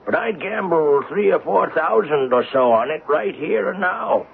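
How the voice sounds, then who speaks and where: A man acting in a 1970s radio drama as an affluent, polite, excitable coin collector, speaking genteelly with frequent hems and haws. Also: high voice, unhurried, gravelly, breathy edge, exaggerated voice. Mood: fascinated, explaining. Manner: hemming, chuckling, genteel speech. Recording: noisy, phone-like old radio recording.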